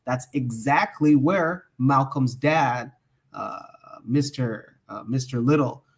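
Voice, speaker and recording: A man from Omaha, co-hosting a livestream podcast, giving enthusiastic closing shout-outs with frequent hesitations and fillers. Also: medium pitch, takes it slowly. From Omaha, Nebraska. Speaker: podcast co-host.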